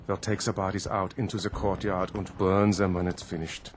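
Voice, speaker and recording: An actor playing a cold, grim wartime military aide in a radio drama, solemn and clipped. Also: male voice, deep voice, level tone, normal speed, soft background music, lightly acted.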